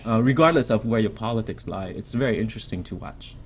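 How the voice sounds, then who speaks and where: A man's voice: lowish voice, quick pace, creaky, a little hiss or hum. A man in his thirties whose first language is Vietnamese, answering audience questions at a podium mic, thoughtful, with many ums and restarts. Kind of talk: public Q&A. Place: lecture room at a podium.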